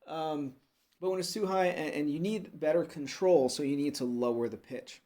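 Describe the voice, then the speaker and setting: Man explaining music with casual pauses. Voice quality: fairly low voice. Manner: relaxed and explanatory, with frequent "uh" and "okay?" check-ins. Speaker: music teacher. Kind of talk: unscripted video lesson.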